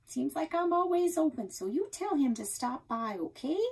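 A woman's voice: sits high, lively intonation, normal speed, gravelly, a little mellow, voice pushed up. An American woman voicing a chatty, gossipy small-town grandmother who runs a shop, in a storybook read-aloud. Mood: flustered.

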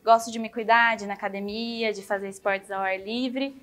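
A woman, a model contestant introducing herself in a relaxed, friendly way. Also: mid-range voice, moderate pace. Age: 30s.

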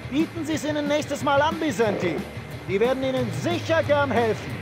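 A man playing a gruff, bossy veteran Marine sergeant in a video game, barking orders with a commanding manner. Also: very high voice, animated, normal speed, over music. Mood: stern, sardonic. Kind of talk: dubbed video game cutscene acting.

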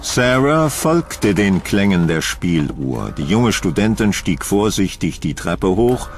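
A man narrating a scripted horror audio drama in a suspenseful, deliberate storytelling manner. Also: low voice, sing-song.

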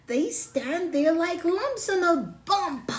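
A cheeky, stubborn schoolgirl from a picture book, put on by a woman reading it aloud. Her voice sits high and swoops all over the place. She talks loudly, in a sing-song way, and stretches out key words. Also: big pitch swings, exaggerated voice. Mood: scornful. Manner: loud, sing-song, drawn-out emphasis.

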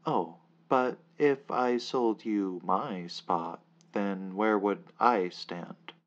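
Casting: man voicing creepy courteous suited men, pushy like salesmen, lower voice, muffled recording. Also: drawn-out words. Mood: puzzled. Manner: calm, politely insistent. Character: eerie polite adult men in suits, salesman-like.

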